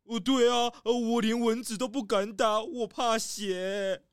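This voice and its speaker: A woman's voice: a little high, steady tone, unhurried, background noise, natural voice. A woman voicing a timid, nervous male grizzly bear who stammers with fright in a children's audio drama. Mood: stammering scared. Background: background music.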